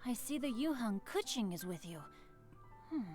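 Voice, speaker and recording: A refined teenage boy from a fantasy game. His voice is fairly high, his wording ornate and old-fashioned, always courteous and a little poetic. Music sits softly behind him. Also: very animated, normal speed. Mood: courteous, measured. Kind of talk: video game voice acting.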